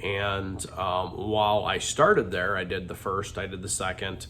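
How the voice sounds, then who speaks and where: A man's voice: low-pitched, level tone, measured pace, bright, forceful tone, slight background noise. A middle-aged man with a stuffy, congested nose, talking candidly in a rambling vlog confession full of ums and restarts. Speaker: vlogger.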